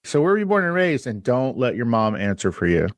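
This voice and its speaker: A man's voice: medium pitch, sing-song, brisk, no echo. A man hosting a podcast interview, casual and teasing in manner. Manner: joking, teasing, laid-back. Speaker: podcast host.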